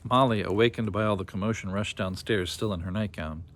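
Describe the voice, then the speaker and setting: A man's voice: lowish voice, a little fast, a bit bright. Man reading a story aloud expressively.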